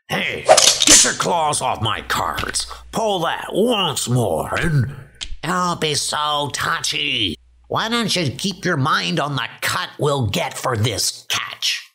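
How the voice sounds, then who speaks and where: A man voicing gruff, bickering rat trappers with rough, slangy talk in a comic dub, over music. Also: mid-range voice, sharp tone, full character voice. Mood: irritated. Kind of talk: comic dub character acting. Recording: music under the voice.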